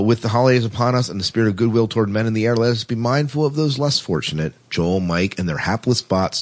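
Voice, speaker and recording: Man, low-pitched voice, casual podcast co-host, conversational sci-fi news chat.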